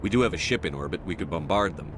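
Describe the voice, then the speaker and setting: A steady, authoritative space commander in a video game. The voice sits low and moves fast, in terse lines. Faint noise runs behind it. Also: male voice. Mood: businesslike. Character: male space navy commander, decisive and steady.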